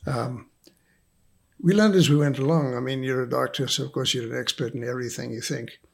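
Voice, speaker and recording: An older man with a South African accent, a guest telling stories in an unhurried, dry, self-mocking way. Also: medium pitch, vocal fry. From Zimbabwe and South Africa. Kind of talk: casual interview.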